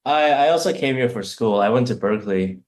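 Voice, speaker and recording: A man's voice: mid-range voice, lively intonation, brisk, a little mellow. A man from Canada, a band member, answering modestly and a little hesitantly.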